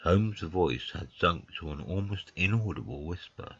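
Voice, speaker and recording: A man plays a loyal friend telling a mystery in the first person. The voice is extremely deep and husky, on a slightly dull recording with no room sound. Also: expressive, unhurried, heavily acted. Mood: tense. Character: male narrator, loyal friend of the detective. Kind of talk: scripted first-person story narration.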